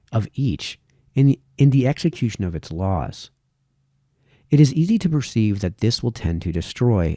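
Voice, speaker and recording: A man reading formal historical essays aloud in a measured, deliberate manner, now and then stumbling over a word. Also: fairly low pitch, mellow, no echo, studio quality. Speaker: reader, narrator.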